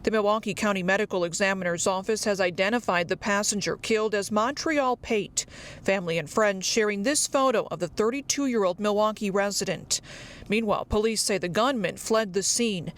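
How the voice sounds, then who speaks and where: A woman's voice: medium pitch, a little fast. An American woman delivering a scripted television news report as a field reporter.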